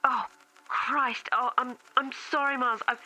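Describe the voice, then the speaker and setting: A woman's voice: high-pitched, fairly even intonation, measured pace, bright, forceful tone, soft background music, heavily acted, low-quality recording. A woman playing a weary, apologetic, guarded mother in an audio drama, heard through a telephone filter. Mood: flustered, apologetic.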